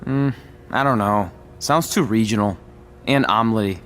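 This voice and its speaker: A man's voice: fairly low pitch, moderate pace, a bit bright, lightly acted. An actor playing a cocky, wisecracking young male wrestling trainee in video game dialogue. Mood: grudging, flippant. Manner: flippant, wisecracking.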